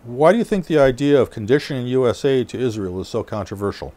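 A man's voice: medium pitch, expressive, quick pace, dark tone, close to an everyday voice. A man voicing a neutral, probing news interviewer, reading formal questions in a scripted re-enactment. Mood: neutral inquiry.

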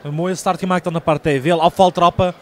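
A man's voice: high-pitched, steady tone, a little fast. A man giving live kickboxing commentary as a fight commentator. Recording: noisy event hall ambience.

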